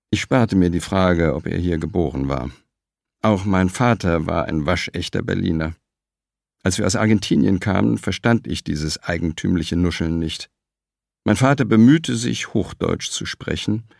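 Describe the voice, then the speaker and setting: Deep gravelly male audiobook reader. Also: darkish tone, dry recording, studio quality. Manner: measured, reflective. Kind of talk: scripted narration.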